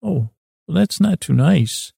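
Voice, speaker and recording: A man voicing an earnest, polite little boy who loves science, pleading in a halting, naive way. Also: a little high, lively intonation, creaky, mellow, breathy edge, no echo, clean studio sound, pitched above the performer's own voice, heavily acted. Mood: doubtful, hurt.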